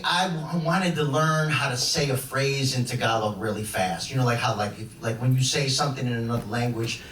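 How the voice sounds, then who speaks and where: A man's voice: medium pitch, fairly bright tone, slight background noise. A young man from Chicago doing a stand-up comedy set on a club stage, talking in a loose, rambling way full of restarts. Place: comedy club stage before a laughing audience. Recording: echoey club room from far off.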